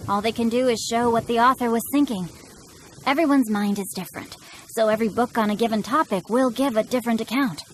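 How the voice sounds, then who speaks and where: A woman voicing a courteous, bookish young gentleman scholar in a video game, with flowery, self-assured speech. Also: fairly high pitch, fast, slight background noise, natural voice, low-quality recording.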